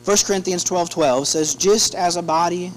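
Higher-pitched man preaching over hum. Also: moderate pace, rough recording. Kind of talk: sermon. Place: church sanctuary, indoors at a pulpit. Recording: steady electrical hum behind the voice.

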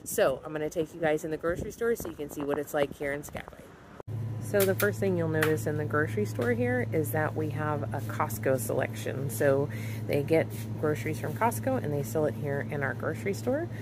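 Woman, 40s, low-pitched vlogger, casual chatty walkthrough, phone mic, loud background noise. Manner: chatty, explaining with frequent ums. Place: outdoors in a parking lot, then inside a grocery store. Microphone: phone held at arm's length.

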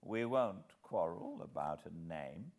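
A man reading an audiobook, voicing a sly, assured older male villain who speaks softly with quiet menace. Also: sits low, animated, takes it slowly, heavily acted. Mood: smooth menace. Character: sly old male villain, gently smiling. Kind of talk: audiobook character voice.

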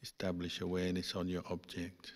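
A man, a Buddhist monk, guiding a meditation in calm, measured phrases with pauses. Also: low voice. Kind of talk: guided meditation instruction. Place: large reverberant hall. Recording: long hall reverb.

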